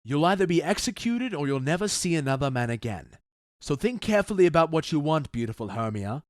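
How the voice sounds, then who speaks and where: A man playing a commanding, measured duke in an audio drama, speaking with stately, deliberate authority. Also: a little high, very animated, no room sound, clean studio sound. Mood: stern, advising. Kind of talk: modernized Shakespeare audio drama acting.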